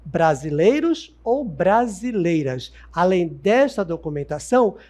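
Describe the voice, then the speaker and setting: A man's voice: sits high, a little slow. A man from Manaus, teaching a recorded sociology lesson and speaking straight to his students, asking questions and answering them himself. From Manaus, Amazonas, Brazil. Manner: lively and didactic, talks straight to students, asks and answers own questions. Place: studio set with Amazon scenery backdrop.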